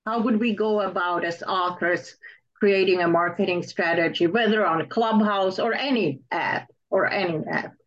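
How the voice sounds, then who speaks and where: A woman's voice: mid-range voice, normal speed. A woman hosting an interview, presenting and asking questions in a prepared style. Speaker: interviewer, host. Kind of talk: interview questions, partly scripted introduction. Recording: dull, band-limited audio.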